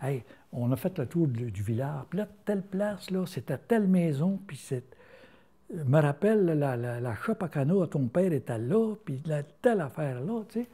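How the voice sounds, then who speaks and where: An older man with a rural Quebec French accent, telling stories in a casual interview, folksy and halting, full of fillers and restarts. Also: fairly high pitch, lively intonation, dark tone. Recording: interview filmed on camera.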